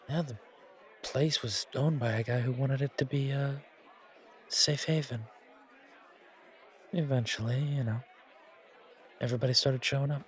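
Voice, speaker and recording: A man's voice: mid-range voice, fairly bright tone, close to an everyday voice. Friendly bartender, played by a man, mid-range voice, casual and soothing roleplay delivery, light crowd murmur behind. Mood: easygoing, chatty. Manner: chatty, hesitant, soothing. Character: friendly, reassuring male bartender at a supernatural bar. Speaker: audio roleplay voice actor. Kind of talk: casual, naturalistic one-sided roleplay acting. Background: bar crowd chatter.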